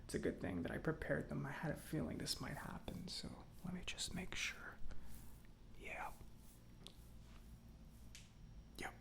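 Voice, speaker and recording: Soft ASMR robot mechanic, acted by a man: near-whispering, slightly high male voice with gravelly fry, chatty and smug, musing at leisure. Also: steady tone. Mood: focused, pleased. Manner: unhurried, hesitant musing with pauses. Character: chatty, self-satisfied government robot mechanic, gently scolding. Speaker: ASMR roleplay performer. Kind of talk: soft-spoken ASMR roleplay.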